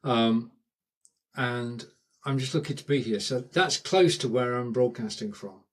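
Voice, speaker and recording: A man's voice: medium pitch, unhurried. Chatty Lancashire man hosting a live stream. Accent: Northern English, Lancashire. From Lancashire, England. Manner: chatty, thanks viewers by name, rambling asides. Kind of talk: casual live-stream chat. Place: home in rural England.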